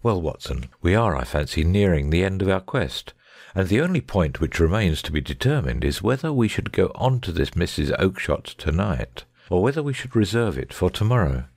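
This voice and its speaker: A man playing a shrewd, unruffled Victorian gentleman detective in a dramatic audiobook. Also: low-pitched, big pitch swings, normal speed, dry recording, clean studio sound, full character voice. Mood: pleased, musing. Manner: careless, bland, unruffled.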